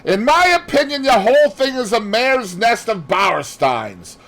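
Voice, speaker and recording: A man voicing a scornful, indignant gentleman in a mystery audiobook, vehement and dismissive. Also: very high voice, big pitch swings, a little slow, voice pushed up, exaggerated voice. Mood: angry, scornful.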